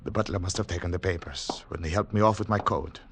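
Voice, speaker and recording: An actor playing an earnest, weary government agent in a 1940s radio drama, speaking formally and deliberately, at times halting. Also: male voice, low voice, background noise. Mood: grave narration. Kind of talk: 1940s radio drama acting with first-person narration. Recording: 1940s radio broadcast recording.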